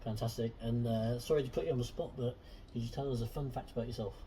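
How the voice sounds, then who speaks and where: A man hosting a casual interview chat, relaxed and friendly with frequent fillers. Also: medium pitch, quick pace, recorded from a distance, slight background noise. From Southern Hemisphere. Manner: relaxed, friendly, many ums. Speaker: host.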